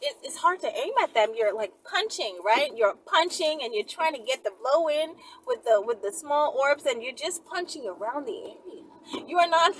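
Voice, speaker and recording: A woman vlogger answering viewer questions in a rambling, animated monologue, often checking in with "right?" and "okay?". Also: high-pitched, normal speed, distant mic, slight background noise, rough recording. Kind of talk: casual Q&A monologue.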